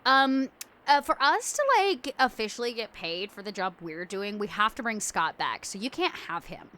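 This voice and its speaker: A woman puts on a bratty, spoiled young vampire girl for a tabletop game. Her pitch bounces around in a lively way, and she talks in flippant, ditzy slang while bluntly bossing people. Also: normal speed. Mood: bossy, breezy.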